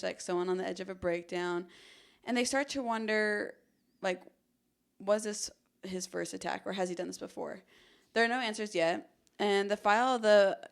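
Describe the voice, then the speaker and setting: A woman's voice: mid-range voice, gravelly. A young American woman hosting a podcast, telling a true crime story in an informal, conversational way. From Arizona, United States. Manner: informal, chatty. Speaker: podcast host.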